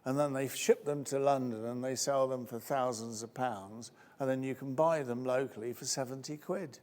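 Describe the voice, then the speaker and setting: A man's voice: normal speed, a little mellow. A man presenting a conference workshop, chatty and jokey as he answers questions from the audience. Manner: jokey, chatty, jumps to high squeaky voices when acting out others. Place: conference hall. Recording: long reverb of a large hall.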